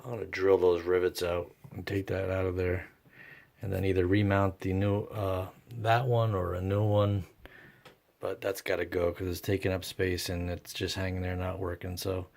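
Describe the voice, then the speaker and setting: A man narrating his own hands-on repair work casually and unscripted, thinking aloud in a halting way. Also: low-pitched, little pitch movement, gravelly, darkish tone. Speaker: host of a radio repair vlog.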